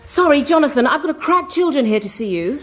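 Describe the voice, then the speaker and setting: A woman's voice: sits high, animated, quick pace, over loud music. A woman playing a bubbly, gushing young receptionist. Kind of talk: sketch comedy acting.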